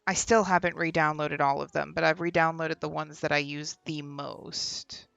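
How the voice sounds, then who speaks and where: A woman's voice: low-pitched, fairly even intonation, normal speed, gravelly, a bit bright, no room sound, muffled recording. A young woman streaming a game from home, chatting casually and laughing, often trailing off mid-sentence, on a desk microphone. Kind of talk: casual gameplay stream chat. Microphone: desk microphone with headphones.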